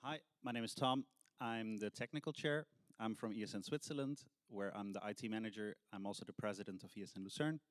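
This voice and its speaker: A man's voice: fairly low pitch, brisk, no room sound. A man, the technical chair of a meeting, introducing himself in a straightforward, matter-of-fact way.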